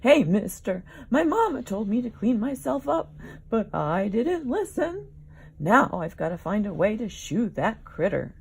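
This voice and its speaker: A big, jumpy male dinosaur wails and pleads in a children's storybook , played by a woman. The voice is pushed high and swoops about, with a raspy edge and stretched-out words. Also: big pitch swings, measured pace, darkish tone, heavily acted. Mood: wailing, distressed. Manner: wild high wailing, pleading. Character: wailing, jumpy, unwashed male Jabaria dinosaur. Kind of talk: children's storybook read-aloud.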